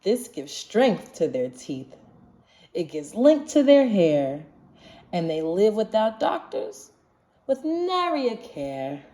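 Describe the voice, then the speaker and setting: Slow, animated woman reading a storybook. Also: medium pitch. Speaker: children's book reader. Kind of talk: storybook read-aloud, rhyming narration.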